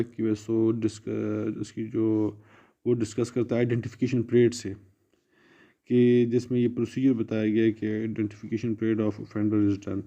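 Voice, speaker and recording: A Pakistani man lectures students in a low-pitched, very dark and soft-edged voice. He explains methodically, restating technical terms in simpler words. The audio is somewhat dull and lo-fi. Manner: explanatory, restating English legal phrases in plain terms. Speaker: law lecturer. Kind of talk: exam-prep lecture mixing Urdu and English.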